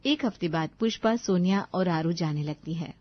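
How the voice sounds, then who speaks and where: A woman's voice: on the low side, normal speed, dry recording, highs cut off. A woman narrator reading a scripted family story.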